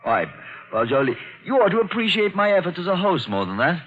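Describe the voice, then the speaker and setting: An actor playing an eager, talkative colonial man who hosts a visitor, in a 1940s radio drama, quick to jump to conclusions. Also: sits high, very animated. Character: chatty, excitable colonial man, a keen host. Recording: dull lo-fi 1940s radio recording.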